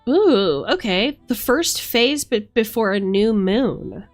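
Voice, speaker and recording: A woman's voice: fairly high pitch, lively intonation, takes it slowly. A woman giving a casual, chatty tarot reading on a live stream, musing aloud in informal language. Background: background music.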